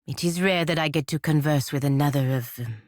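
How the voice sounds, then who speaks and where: A woman's voice: deep voice, fairly quick, darkish tone, dry recording, clean studio sound, close to an everyday voice. A woman playing a proud, bitter, honor-bound godlike warrior in a fantasy video game, with stern, clipped, formal phrasing. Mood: subdued, guarded.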